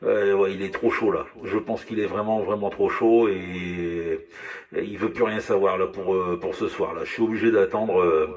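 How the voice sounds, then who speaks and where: A man's voice: low voice, fairly even intonation, moderate pace, far from the mic. A man chatting casually over two-way radio as a hobby operator, speaking hesitantly, repeating himself and often apologetic.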